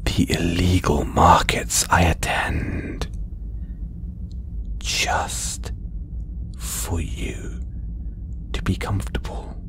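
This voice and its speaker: A man playing a condescending, possessive alien collector in an intimate, breathy audio roleplay. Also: low-pitched, fairly even intonation. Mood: smug warning. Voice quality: breathy, whispery. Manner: condescending, slow, coaxing. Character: condescending, possessive male alien collector keeping a human specimen. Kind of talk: audio roleplay acting, intimate ASMR delivery.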